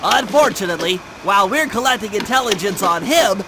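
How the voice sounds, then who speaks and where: A man's voice: sits high, animated, fairly quick, background noise. A man voicing a nerdy, brainy young cartoon turtle who briefs heist plans in a precise, pedantic, nasal voice. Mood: explaining, precise. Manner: precise, pedantic, wordy, self-assured. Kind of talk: scripted cartoon game mission briefing.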